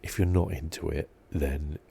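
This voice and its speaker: A man with an extremely deep, mellow voice and a creaky edge hosts an ASMR game playthrough. He muses softly and in a relaxed way as he comments on what he plays. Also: moderate pace, slight background noise. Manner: soft, relaxed, musing. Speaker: gaming channel host.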